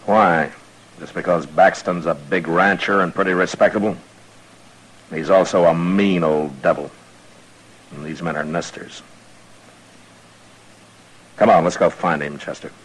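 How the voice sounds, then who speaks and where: An actor playing a stern, dry-humored frontier marshal in a radio western, with an American accent and a steady, laconic delivery. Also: male voice, low voice, unhurried, long pauses, slightly rough, full character voice, poor audio. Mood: resolute. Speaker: lead actor and narrator. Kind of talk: old-time radio western acting and narration.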